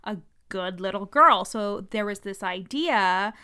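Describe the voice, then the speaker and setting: A woman's voice: medium pitch, lively intonation, unhurried, pushed and bright, clear, resonant tone. A woman business coach talking frankly in a casual podcast monologue, swearing freely, with a stutter.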